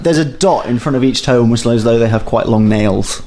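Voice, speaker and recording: A man's voice: mid-range voice, a little fast, darkish tone, a little hiss or hum. A man, running a tabletop game as dungeon master, narrating in a dry, matter-of-fact way with wry asides.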